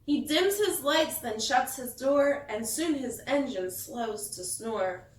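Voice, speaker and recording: Echoey distant recording, woman, storytime read-aloud for kids, slow measured pace, sing-song phrasing, stressed rhymes. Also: sits high, animated. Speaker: teacher reading a picture book to children. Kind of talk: bedtime story read-aloud with asides to young listeners. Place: echoey indoor room. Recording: echoey room, distant mic.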